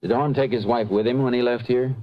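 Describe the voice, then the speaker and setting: An actor playing a stern, businesslike American police detective in a vintage radio crime drama, terse, matter-of-fact and persistent. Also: male voice, medium pitch, steady tone, a little fast, mellow, natural voice, low-quality recording.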